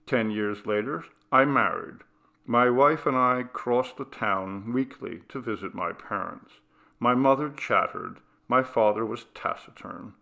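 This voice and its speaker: Male ghost story narrator, low gravelly voice, very slow and measured, grave delivery. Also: bright, forceful tone. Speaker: reader.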